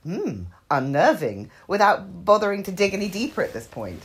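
A woman's voice: mid-range voice, very animated, moderate pace, breathy edge. A snuffly woman with hay fever chatting casually with a friend, teasing her with dry wit. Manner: dry, teasing wit, mock-serious asides. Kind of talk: casual chat between friends.

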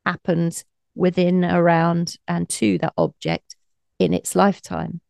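A woman guest with a slightly croaky, gravelly voice, explaining her psychic practice in a thoughtful, conversational way during an interview. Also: fairly low pitch, big pitch swings, unhurried, darkish tone, no room sound, studio quality. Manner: thoughtful, explanatory, light laughs.

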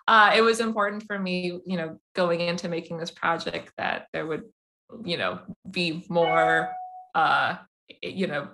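A young woman, an animation filmmaker, talks through her answers with frequent restarts and searching pauses. Her voice sits a little low for a woman, and it is bright and forceful. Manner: halting, searching for words with many restarts. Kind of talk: casual interview answers.

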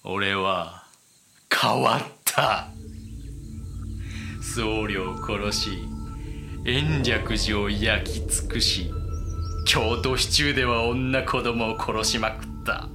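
A ruthless, broken feudal Japanese warlord in an audio period drama. He speaks slowly in archaic, lordly phrases with heavy pauses. Prominent music plays underneath. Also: lowish voice. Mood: cold, brooding.

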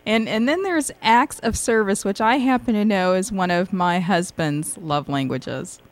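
A woman's voice: medium pitch, unhurried. Woman co-host with friendly prompts. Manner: light, friendly prompting with laughs. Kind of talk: interview prompts.

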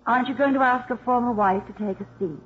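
An actress playing a brazen, scheming, moneyed ex-wife in a 1950s radio drama, theatrical and insinuating. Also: female voice, on the high side, fast, close to an everyday voice. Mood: coolly coaxing. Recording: muffled 1950s radio recording.

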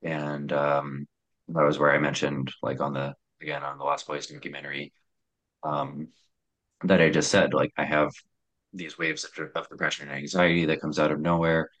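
A young man with a very deep voice telling his own story in a hesitant, reflective way, with many fillers and restarts. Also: little pitch movement, normal speed, a bit bright, low-quality recording.

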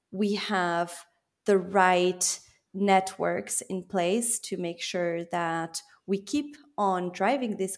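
A woman guest in a podcast interview, speaking in a measured, earnest way about her work. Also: fairly low pitch. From born in Switzerland, raised in Belgium. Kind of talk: interview answers.

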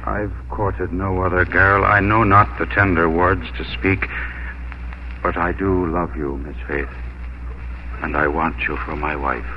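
Bashful, plain-spoken suitor farmer, low voice, formal and halting, muffled vintage radio recording with light noise. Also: male voice, steady tone. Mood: tender, hesitant. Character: earnest, bashful farmer courting a young woman. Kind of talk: 1950s radio drama acting.

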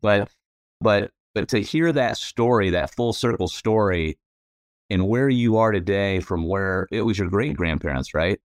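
A man's voice: on the low side, a little slow, no echo. A man co-hosting a podcast, chatty and rambling, with a self-deprecating manner. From United States. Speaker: podcast co-host. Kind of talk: casual interview chat.

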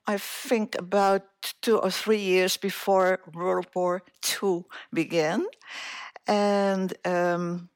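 A woman in her eighties, born in Indonesia, telling her life story as an interview guest, slowly and with many hesitations. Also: mid-range voice, no room sound, studio quality. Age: 80s. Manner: slow, hesitant, many filler sounds.

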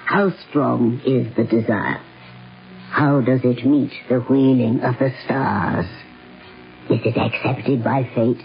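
A performer with a low voice playing a stern, regal Samoan mother in a 1970s radio drama, solemn and portentous. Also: steady tone, gravelly, faint music behind. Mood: solemn, warning. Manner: slow, solemn, portentous, riddling. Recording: dull vintage radio recording.

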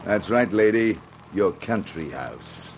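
A man's voice: on the low side, expressive, takes it slowly, slight background noise. An actor playing a menacing, impatient racketeer in a radio crime drama, with an American accent and curt, slangy tough-guy talk. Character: menacing hard-boiled racketeer, tough and impatient gangster boss.

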